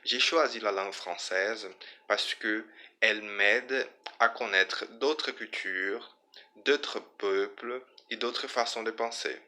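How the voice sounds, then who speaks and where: A Brazilian man, a French teacher, introducing himself in French with a Brazilian accent, calm and earnest. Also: lowish voice, slow pace. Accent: Brazilian Portuguese. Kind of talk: self-introduction.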